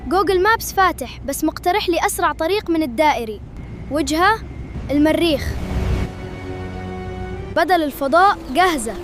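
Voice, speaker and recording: Cartoon child, very high voice, Egyptian accent, excitable comic dialogue, clear music underneath. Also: female voice. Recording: music behind the voice.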